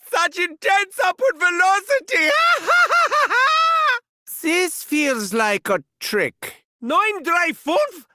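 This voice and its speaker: German mad scientist, video game villain, sharp bright voice, wildly swooping pitch, pompous, gleefully sadistic, florid delivery. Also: male voice, dry recording, clean studio sound, full character voice. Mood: startled, agitated, then manic glee, then puzzled, wry. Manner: theatrical, pompous, florid diction. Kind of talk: theatrical game character barks.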